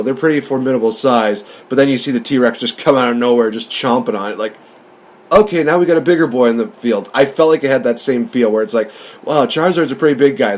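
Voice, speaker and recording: A man with a mid-pitched voice in relaxed film discussion as a co-host. He speaks colloquially and thinks aloud, wandering through his points. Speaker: podcast co-host reviewing films. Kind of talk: casual, conversational film discussion.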